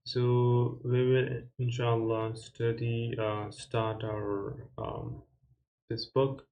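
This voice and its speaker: Man, beginner language teacher, slow deliberate delivery, even monotone, repeats words aloud. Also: mid-range voice, muffled recording. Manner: slow, measured explaining with many fillers and restarts; reads Arabic words aloud and repeats them. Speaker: Arabic teacher. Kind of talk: casual step-by-step beginner lesson.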